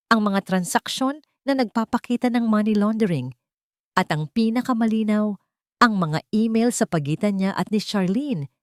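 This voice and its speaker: A woman's voice: medium pitch, no room sound, studio quality. A woman with a Filipino accent narrating a dramatic first-person story as an audiobook reader.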